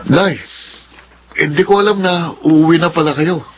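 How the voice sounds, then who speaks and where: A man's voice: fairly high pitch, moderate pace, faint music behind, close to an everyday voice, low-quality recording. A man playing a troubled, defensive young son in a radio drama, faltering and pleading. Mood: sheepish. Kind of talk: melodramatic radio drama acting.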